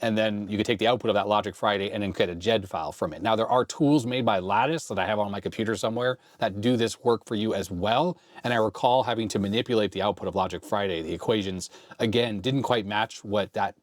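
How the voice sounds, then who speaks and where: A man's voice: lowish voice, fast talker, hardly any pauses, dry recording, clean studio sound. A man hosting a retro computer tech vlog, thinking aloud and a little sick with a fading voice. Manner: thinking aloud, hesitant self-questioning. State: slightly sick, losing his voice. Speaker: host of a retro computer channel. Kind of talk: casual tech vlog explaining on screen.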